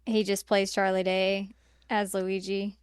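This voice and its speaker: A woman's voice: mid-range voice, level tone, unhurried, clean tone, dry recording, clean studio sound. A woman co-hosting a podcast in casual film-review chat, talking conversationally and peppering sentences with "like". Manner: conversational, filler-heavy with frequent "like". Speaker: podcast co-host.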